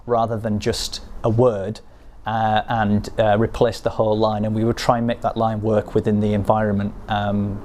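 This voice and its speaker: Low-voiced man, hesitant, thinking aloud. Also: little pitch movement, moderate pace, few pauses, a little mellow, a little hiss or hum. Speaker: game developer explaining cut scenes. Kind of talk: behind-the-scenes interview, unscripted explanation.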